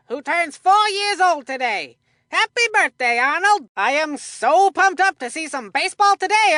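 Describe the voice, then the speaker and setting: A woman voicing an excitable, folksy cartoon baseball commentator for a children's video game, with colloquial, emphatic delivery. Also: very high voice, no echo, studio quality, heavily acted. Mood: excited, cheerful.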